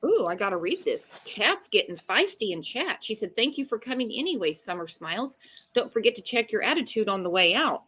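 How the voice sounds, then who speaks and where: Blunt woman with a bright, forceful voice. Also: mid-range voice, big pitch swings, fairly quick, slightly rough. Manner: blunt, colloquial, emphatic. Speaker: co-host of a live true-crime chat show. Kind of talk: casual opinionated chat.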